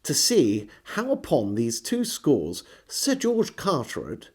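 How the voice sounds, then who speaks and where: A man's voice: medium pitch, huge pitch swings, slow pace, a little mellow, breathy edge. A man reading an old diary aloud as a storyteller, with theatrical, varied phrasing. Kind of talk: expressive reading of a 17th-century diary.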